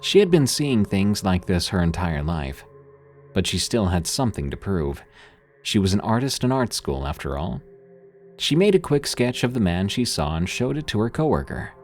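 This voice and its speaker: A man narrating a scripted horror story in an expressive storytelling manner. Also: lowish voice, moderate pace, soft background music.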